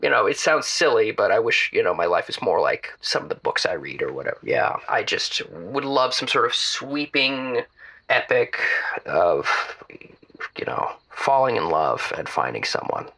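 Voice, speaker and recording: A man's voice: on the low side, sing-song, normal speed, vocal fry, sharp tone, exaggerated voice. A man playing a bashful, lonely, bookish caller in a comedy audio drama, speaking hesitantly and self-consciously. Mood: bashful, yearning. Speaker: caller on a radio advice show.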